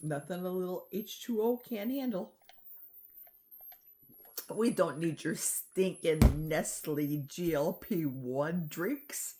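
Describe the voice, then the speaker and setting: Slow, low-voiced woman vlogging. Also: dark tone, a little hiss or hum. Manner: chatty and confiding. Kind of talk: personal diet vlog. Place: outdoors in a forest.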